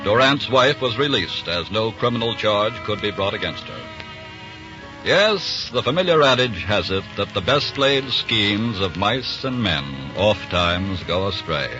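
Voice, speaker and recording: A man's voice: on the low side, animated, unhurried. An American man giving formal, solemn scripted narration for a 1940s radio crime drama. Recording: dull, band-limited 1940s radio broadcast. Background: music.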